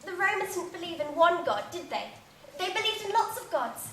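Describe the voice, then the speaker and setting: Keen literal-minded am-dram extra, super high lively voice, pedantic, echoey. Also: female voice, fairly quick, heavily acted. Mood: earnest. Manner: pedantic, questioning, innocent. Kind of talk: acted comedy drama dialogue. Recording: echoey room, faint background noise.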